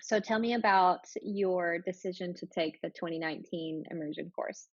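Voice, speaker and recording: A woman hosts an interview, asking questions with an encouraging, complimentary manner. Her voice sits somewhat low, and the recording is dry and dull, a little lo-fi. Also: moderate pace, no room sound. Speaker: interview host, teacher. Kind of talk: casual interview.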